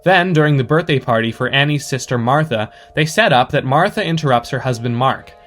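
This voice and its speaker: A man's voice: medium pitch, lively intonation, fairly quick, a bit bright, faint music behind. A man giving articulate, scripted commentary as a listener sending in his thoughts.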